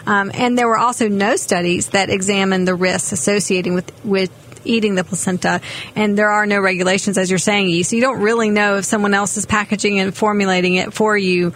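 A woman's voice: mid-range voice, a bit bright. A woman, a physician hosting a radio health show, talking conversationally with some ums. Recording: faint background noise.

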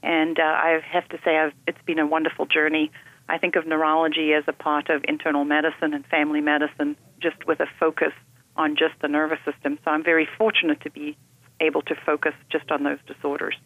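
A woman, a neurologist guest explaining her field in a measured way. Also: sits low, monotone, no room sound, phone-quality sound. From South Africa. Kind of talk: radio interview.

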